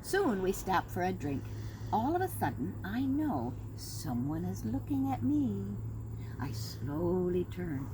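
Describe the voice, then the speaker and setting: A middle-aged woman reads a picture book aloud for young children, her pitch rising and falling in wide, lively swoops. She is gentle and patient and slows down to give little listeners time. The home recording carries a clear layer of background noise. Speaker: teacher reading to children. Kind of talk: storybook read-aloud for kids. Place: at home with her dog nearby.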